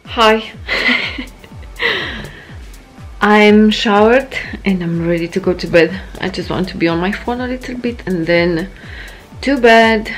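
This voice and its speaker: A woman's voice: on the low side, low-quality recording. A woman in her thirties from Romania with a Romanian accent, chatting casually and expressively to her phone camera from the sofa at home. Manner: chatty, rambling, expressive, self-interrupting. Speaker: vlogger. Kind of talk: casual vlog chat. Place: living room, indoors, sitting on a sofa. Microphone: phone camera held at arm's length.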